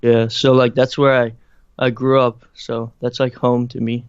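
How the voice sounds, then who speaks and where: A young man from Ireland with a slight Irish accent, answering interview questions in a halting, casual way, full of fillers and restarts. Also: mid-range voice, level tone, clear, resonant tone, dry recording. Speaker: interviewed musician. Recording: dry, muffled lo-fi recording.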